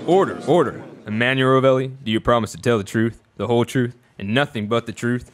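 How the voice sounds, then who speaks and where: A brisk court clerk, voiced by a man with a mid-range voice, rattling off the oath over a faint hiss. He keeps it formal in a comic radio play. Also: medium pitch, close to an everyday voice.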